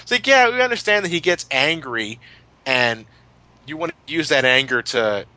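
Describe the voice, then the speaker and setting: Guy cohost just chatting, bright voice, goes up and down a lot, blunt n sweary. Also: fairly high pitch, animated, normal speed, dry recording. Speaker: podcast co-host. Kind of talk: casual chat, retrospective commentary.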